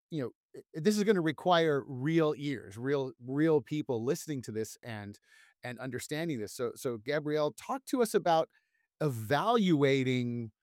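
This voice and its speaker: A man's voice: fairly high pitch, no room sound, studio quality. Conversational male host asking questions. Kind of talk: casual interview.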